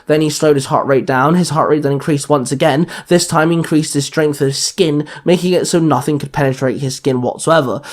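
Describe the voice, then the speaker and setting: A man with a somewhat high-pitched voice narrates a scripted action story. He reads it out as a storyteller and sometimes trips on a word before correcting himself. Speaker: podcast narrator reading fan fiction.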